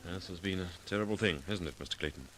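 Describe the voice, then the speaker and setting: An actor playing a calm, commanding amateur sleuth with a measured, probing, dryly ironic manner in a 1940s radio mystery. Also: male voice, deep voice. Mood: politely probing. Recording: noisy vintage 1940s radio recording.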